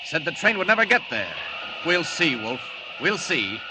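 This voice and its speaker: Very loud noise surrounds a heroic superhero in a vintage radio serial. His fairly high voice thinks aloud in short, determined, alert phrases. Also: big pitch swings. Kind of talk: 1940s radio drama acting. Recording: loud rushing noise, old radio recording.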